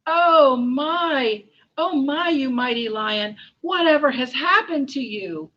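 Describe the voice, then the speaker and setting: A woman's voice: high-pitched, lively intonation, takes it slowly, muffled recording, voice pushed up, heavily acted. A woman voicing a small, kindly male mouse in a children's story, polite and earnest. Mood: concerned. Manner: earnest, polite, gently exclaiming.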